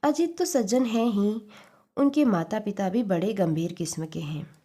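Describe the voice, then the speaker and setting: A woman's voice: mid-range voice, measured pace, mellow. A woman reading a short story aloud in a scripted audiobook narration style.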